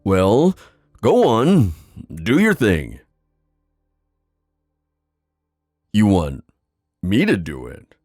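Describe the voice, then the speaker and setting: A man playing a wistful, theatrical sea god in an ASMR fantasy roleplay, musing with hesitant pauses and drawn-out phrasing. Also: lowish voice, huge pitch swings, darkish tone, dry recording, clean studio sound, soft background music, full character voice. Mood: curious.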